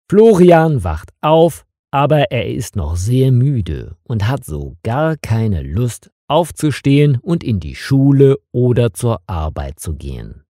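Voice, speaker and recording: A man explaining an expression in a scripted lesson for language learners, with clear phrasing. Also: medium pitch, dark tone, no room sound, clean studio sound.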